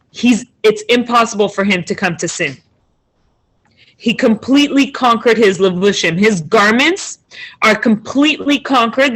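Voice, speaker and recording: A woman's voice: medium pitch, a bit bright, low-quality recording. A woman teaching a text class over a video call. She reads each line, then translates and explains it, checking in with "okay?" often. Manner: conversational, with restarts and checks of "okay?".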